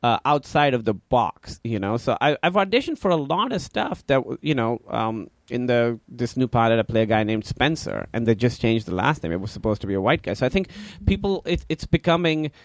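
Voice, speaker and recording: A man's voice: mid-range voice, lively intonation, a little fast, hardly any pauses, dry recording. A man in his thirties with a Pakistani accent, a comedian chatting as a podcast guest, rambling with many fillers and restarts. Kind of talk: casual interview chat.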